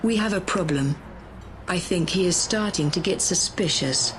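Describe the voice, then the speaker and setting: Lady doing a scheming power-hungry sci-fi woman, impatient and threatening, clear humming noise behind her. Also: lowish voice, normal speed, close to an everyday voice. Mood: calculating. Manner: bossy, menacing. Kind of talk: sci-fi audio drama acting.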